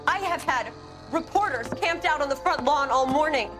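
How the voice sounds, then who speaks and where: A woman's voice: high voice, lively intonation, moderate pace, over music. A woman playing a hurt, angry wife and mother who has been betrayed, in a dramatic video game.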